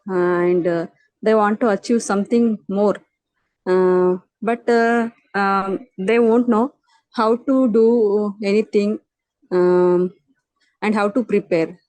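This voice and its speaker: A woman with an Indian accent, politely and haltingly asking a question as an attendee at an online event. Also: mid-range voice, darkish tone, no echo, rough recording. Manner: hesitant, halting. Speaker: audience member asking a question.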